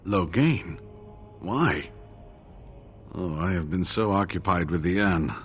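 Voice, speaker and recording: A man playing an injured, earnest scholarly monk in a video game, speaking in a halting, expressive way. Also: low voice, soft background music. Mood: concerned. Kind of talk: dramatic video game dialogue.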